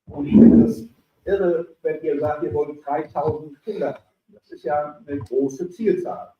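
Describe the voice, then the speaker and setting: A man's voice: a little high, measured pace, a little hiss or hum. A man moderating a panel discussion and asking thoughtful questions. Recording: dull, echoey room, distant mic.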